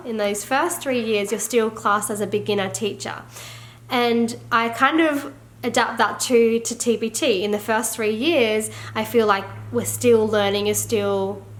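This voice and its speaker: An Australian woman and teacher-seller chatting casually to camera in a vlog, a little congested and croaky, rambling with lots of fillers. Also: a little high. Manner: rambling, filler-heavy, laughs often. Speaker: teacher and online resource seller.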